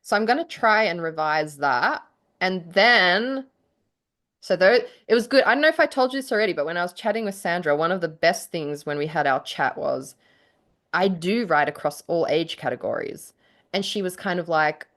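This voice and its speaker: Woman, slightly low pitch, casual chatty podcast co-host, giggly delivery. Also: expressive, normal speed, fairly bright tone. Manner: giggly, laughing often as she talks. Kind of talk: casual chat.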